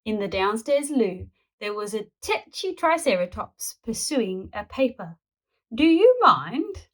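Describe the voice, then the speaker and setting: A woman storyteller reading a children's picture book aloud in an animated narrating style. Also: mid-range voice, unhurried.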